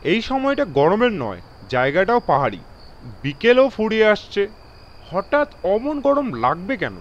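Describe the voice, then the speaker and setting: Railway guard, acted by a man: alarmed, commanding, emphatic, very animated, dull-sounding horror radio play track. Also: high voice, unhurried, pitched above the performer's own voice. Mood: uneasy, amazed. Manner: emphatic, mixes English phrases into his speech. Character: alarmed, authoritative railway guard telling of strange events. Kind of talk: dramatised horror audio story.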